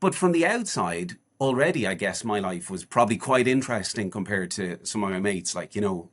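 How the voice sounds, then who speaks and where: Male guest, 40s, low register, rambling conversational delivery with lots of restarts. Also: animated, a little fast. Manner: rambling, with frequent restarts and fillers. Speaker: guest, charity walker. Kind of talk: casual podcast chat.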